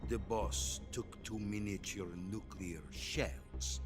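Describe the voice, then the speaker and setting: An actor playing an aging, commanding Soviet statesman, formal and deliberate in a dramatic video game cutscene. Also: on the low side, steady tone. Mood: shocked, probing. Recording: dramatic music behind the voice.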